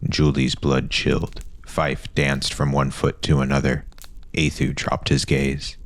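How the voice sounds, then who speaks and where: A man's voice: rumbling low, level tone, a little slow, no echo. A man narrating a scripted fantasy story as an audio storyteller.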